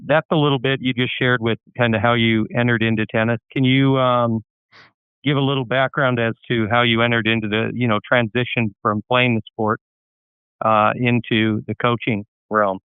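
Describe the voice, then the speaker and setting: Smooth-voiced man on a phone line. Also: medium pitch, level tone, normal speed, dry recording. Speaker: podcast co-host, tennis coach. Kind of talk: casual interview question. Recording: tinny phone-like line, dry.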